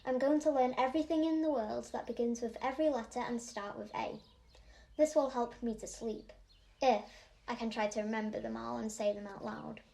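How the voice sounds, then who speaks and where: A woman's voice: on the high side, a little fast, gravelly, dark tone. A woman playing a bookish, anxious eight-year-old Yorkshire girl in an audio drama monologue, speaking earnestly and precisely. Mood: earnest, curious. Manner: earnest, precise, matter-of-fact.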